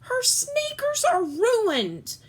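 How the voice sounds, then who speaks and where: A woman's voice: extremely high pitch, wildly swooping pitch, very slow, voice pushed up, heavily acted. A woman voicing an exasperated, bargaining mother in a children's story, speaking emphatically.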